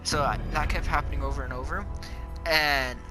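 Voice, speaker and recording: A young man chatting casually while gaming, telling stories in a halting, rambling, sweary way. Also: fairly high pitch, fairly even intonation. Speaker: player chatting in a multiplayer game. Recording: music behind the voice. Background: music.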